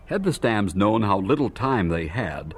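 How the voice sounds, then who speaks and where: A man's voice: lowish voice, very animated, measured pace, a little hiss or hum. A man delivering scripted, dramatic narration for an audio drama.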